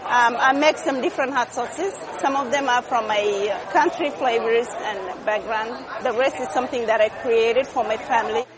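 A woman's voice: a little high, normal speed, hardly any pauses, rough recording. A woman from Somalia, a vendor speaking casually in an interview. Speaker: hot sauce vendor. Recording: busy crowd in large space.

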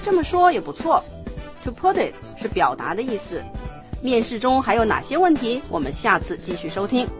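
A woman narrating and explaining a scripted language lesson in a clear, explanatory manner. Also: fairly high pitch, big pitch swings, a little fast, music in the background.

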